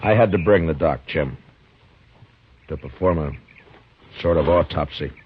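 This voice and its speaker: An actor playing a stern, middle-aged frontier marshal who speaks with firm, measured authority and narrates the story, in a 1950s radio drama. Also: male voice, a bass voice, husky, full character voice. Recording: dull old radio broadcast recording with music.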